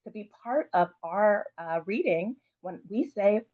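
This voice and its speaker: Woman doing a story read-aloud, expressive and encouraging, audio kinda thin like a phone line. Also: mid-range voice, a little slow. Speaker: children's author.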